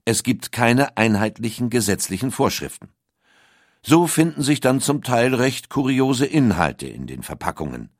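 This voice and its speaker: A man's voice: mid-range voice, big pitch swings, moderate pace, dry recording, clean studio sound. A man reading a scripted documentary audiobook as its narrator. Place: dry recording studio.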